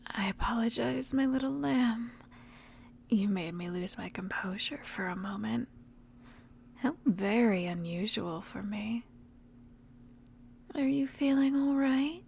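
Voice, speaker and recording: A woman voicing a seductive, predatory, ancient vampire in a breathy, intimate whispered ASMR roleplay. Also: fairly high pitch, a little mellow, slight background noise. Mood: apologetic, soothing. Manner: slow, intimate, menacing endearments.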